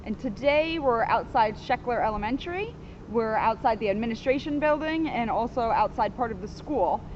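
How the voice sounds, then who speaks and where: Woman, children's read-aloud, expressive, swooping intonation, chatty asides, slightly muffled outdoor recording with faint noise. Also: a little high, normal speed. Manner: animated, expressive reading. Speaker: police officer reading a children's book. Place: outdoors in a sunny spot by a school.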